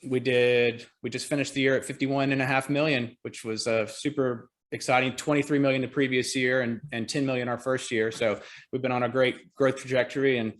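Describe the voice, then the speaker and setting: A man in his forties with a Southern American accent chats easily about his work over a video call. His voice sits at an ordinary middle pitch, and his talk is relaxed and self-deprecating, with dry humor. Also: brisk. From Georgia, US. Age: 40s. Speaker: real estate agent and team leader. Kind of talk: casual interview on a call.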